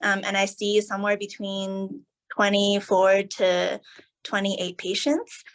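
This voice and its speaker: A woman who is a family doctor, calmly and steadily explaining her work on a webinar panel. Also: mid-range voice, takes it slowly.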